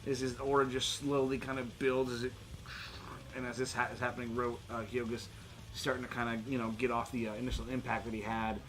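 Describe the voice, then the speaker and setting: A man's voice: medium pitch, little pitch movement, fairly quick, fairly bright tone, a little hiss or hum, low-quality recording. A man running a tabletop role-playing game as game master, talking and narrating in an informal, sweary way. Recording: music playing behind the voice.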